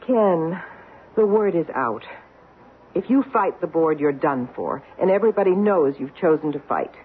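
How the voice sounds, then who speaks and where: Poised socialite, muffled old radio. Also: female voice, sits low, lively intonation, moderate pace, a little hiss or hum. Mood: measured, warning. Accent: American. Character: wealthy, poised society woman, coolly affectionate lover. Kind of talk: old-time radio drama acting.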